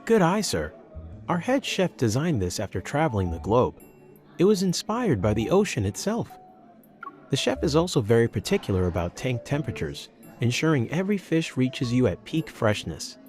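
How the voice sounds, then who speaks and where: Man playing polite male waiter, pompous, formal, very animated intonation, fine dining, audio drama, light music behind. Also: medium pitch, normal speed, lightly acted. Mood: proudly attentive.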